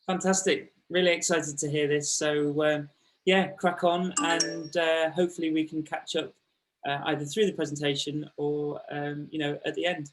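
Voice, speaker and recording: A man's voice: fairly high pitch, flat delivery, moderate pace, soft background music, low-quality recording. A man hosting an online talk session, introducing a guest in a friendly but hesitant way with many ums. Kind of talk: casual spoken introduction.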